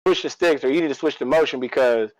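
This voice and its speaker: A man's voice: mid-range voice, quick pace, dry recording, poor audio. A man co-hosting a podcast in casual, opinionated talk, repeating phrases for emphasis. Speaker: podcast co-host.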